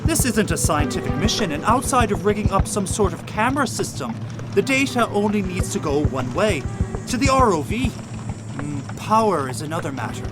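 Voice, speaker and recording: An actor playing a brainy, eager, good-hearted first mate with a precise, technical way of talking in an audio drama. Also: male voice, high voice, big pitch swings, normal speed, loud music behind the voice.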